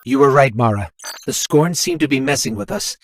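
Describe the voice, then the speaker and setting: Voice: mid-range voice, big pitch swings, a little fast, a little hiss or hum. An actor voicing an earnest, inquisitive robot companion in a video game, questioning and a little exasperated.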